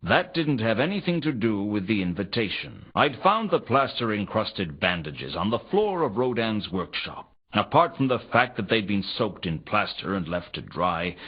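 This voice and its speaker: An actor playing a wry, world-weary private detective, narrating a noir adventure game in a deadpan, sardonic way. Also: male voice, on the low side, husky. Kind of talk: scripted first-person noir narration. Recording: dull, lo-fi ripped game audio.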